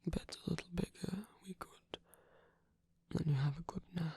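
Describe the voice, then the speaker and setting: A performer voicing a soft-spoken villain in an intimate romantic ASMR roleplay, gentle, protective and hesitant, with a breathy, husky, often whispered delivery. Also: medium pitch, fairly even intonation, normal speed, no room sound. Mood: gentle, caring. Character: soft-spoken villain, tender and protective toward abandoned hero.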